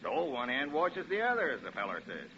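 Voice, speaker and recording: A man's voice: mid-range voice, huge pitch swings, a little fast, sharp tone, heavily acted. An actor playing a breezy, placating American property salesman with a folksy, glib manner, in a 1940s radio drama. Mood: breezy, persuasive. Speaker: real estate agent. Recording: dull, noisy 1940s radio recording.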